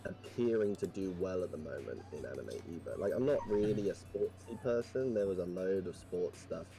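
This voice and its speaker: A man's voice: sits low, lively intonation, normal speed, warm tone, faint music behind. A man with a posh English accent chatting casually as a guest, rambling thoughtfully with many fillers. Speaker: podcast guest. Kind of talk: casual chat.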